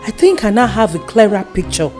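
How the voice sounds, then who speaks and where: A woman's voice: mid-range voice, sing-song, fairly quick, a little mellow, natural voice. The solemn Nigerian woman of faith in a gospel radio play, telling what her spirit showed her over music an actress. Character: solemn, devout woman sharing prophetic insight. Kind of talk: gospel audio drama acting.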